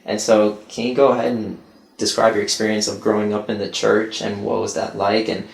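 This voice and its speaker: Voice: medium pitch, little pitch movement, brisk, vocal fry, echoey room. An interviewer asking open questions about someone's life and faith, with short encouraging responses. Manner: encouraging, brief affirmations.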